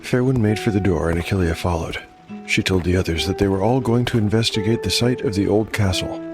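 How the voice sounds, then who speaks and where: A man narrating a solo tabletop fantasy game as game master, in a measured, reflective, scripted manner. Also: lowish voice, over music.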